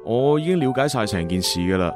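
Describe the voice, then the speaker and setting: An actor with a Hong Kong Cantonese accent playing a cocky, boastful young agent from the future in a radio drama. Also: male voice, on the low side, fairly quick, close to an everyday voice. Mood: calm, serious. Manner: animated, boastful storytelling. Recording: music behind the voice. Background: background music.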